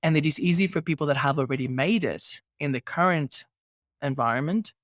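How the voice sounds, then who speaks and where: A man's voice: fairly high pitch, brisk, a bit bright, no echo, clean studio sound. A man hosting a podcast interview, talking through his ideas and building his points with rhetorical questions. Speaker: podcast host. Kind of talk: casual podcast conversation.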